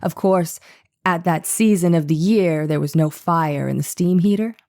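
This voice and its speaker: A methodical defense attorney of the 1890s read by a woman questions a witness in a trial reenactment. The voice is low and very mellow, with formal, deliberate, probing phrasing. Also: lightly acted. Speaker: voice actor reading a lawyer's part. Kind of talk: dramatized reading of a trial transcript.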